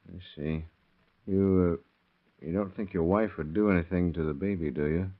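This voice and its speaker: A man's voice: low-pitched, fairly even intonation, natural voice. A man playing a polite, hesitant police investigator questioning someone in a 1930s radio crime drama. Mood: careful. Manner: hesitant, courteous, measured questioning. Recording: dull lo-fi vintage radio recording.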